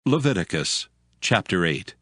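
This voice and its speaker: Low-voiced solemn male narrator over music. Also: very animated, a bit bright. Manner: solemn, measured, formal. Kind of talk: dramatized scripture narration.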